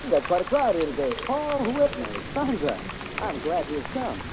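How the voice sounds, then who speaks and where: A high, lively male voice plays a genial, bantering society gentleman in vintage radio drama. The recording is thick with rain noise. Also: normal speed, creaky, full character voice. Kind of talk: 1940s radio drama acting.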